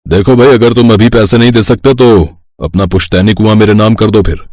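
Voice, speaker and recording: A man's voice: on the low side, steady tone, fairly quick, a little mellow, no room sound, studio quality, close to an everyday voice. A man voicing a greedy, bullying village headman in a moral story cartoon, with a domineering, sly manner. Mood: demanding, threatening. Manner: domineering, demanding.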